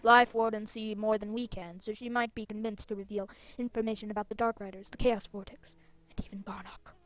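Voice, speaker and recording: A woman's voice: medium pitch, steady tone, close to an everyday voice. Grave, formal quest leader, read by a woman. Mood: grave, concerned. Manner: measured, formal, ominous. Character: grave, concerned leader of the soul riders.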